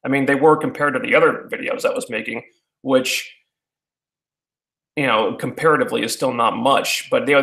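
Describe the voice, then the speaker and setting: A man's voice: medium pitch, creaky, fairly bright tone. Rambling man in an echoey room. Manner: halting, many fillers and restarts. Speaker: YouTuber answering viewer questions. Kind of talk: casual rambling chat.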